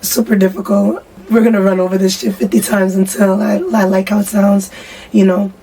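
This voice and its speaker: Raspy young woman musician chatting loose and slangy, mellow dark tone, mic a bit far off w/ faint hiss. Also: mid-range voice, level tone, brisk. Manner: candid, slangy, frequent fillers. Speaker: musician guest. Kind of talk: casual interview chat. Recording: distant mic with faint background noise.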